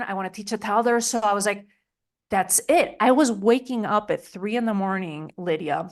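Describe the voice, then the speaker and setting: A middle-aged woman from Spain, a podcast guest talking in a chatty way with many "like" and "you know" fillers. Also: mid-range voice. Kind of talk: interview conversation.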